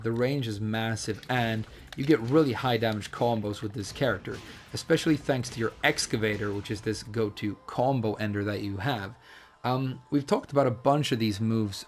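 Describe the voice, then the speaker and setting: A man with a slightly hoarse voice, casually explaining a fighting game character in a chatty, hedging way with frequent fillers. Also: fairly low pitch. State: hoarse, losing his voice. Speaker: fighting game guide creator. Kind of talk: casual video game tutorial commentary.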